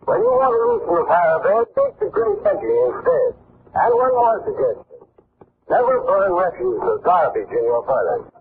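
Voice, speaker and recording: A man's voice: high voice, wildly swooping pitch, normal speed, gravelly, slight background noise. A man giving folksy scripted household advice as an expert in a 1940s radio commercial, on an old muffled recording. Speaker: advertising spokesman, heating expert.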